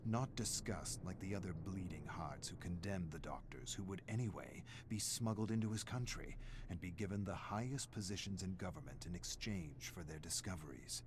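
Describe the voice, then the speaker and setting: A man's voice: low voice, lively intonation, normal speed, slight background noise. A man giving a dramatic scripted story narration, intense and emphatic, leaning on repeated phrases.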